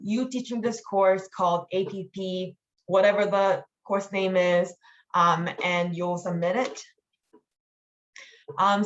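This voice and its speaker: A woman leading an online training meeting, explaining steps informally in a casual, halting way over lo-fi call audio. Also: mid-range voice. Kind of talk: informal instructional walkthrough with slides.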